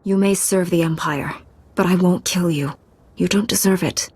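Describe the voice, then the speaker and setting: An actress playing a resolute, merciful Jedi Knight in a video game, speaking in an earnest, composed way. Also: female voice, fairly low pitch, normal speed, darkish tone, husky, no echo. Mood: firm. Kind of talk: video game dialogue acting.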